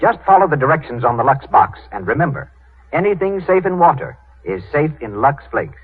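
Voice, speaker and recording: An American male announcer from 1930s radio delivers scripted copy. His intonation is very lively and his tone husky. The sound is thin and muffled like an old broadcast. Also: fairly high pitch, brisk.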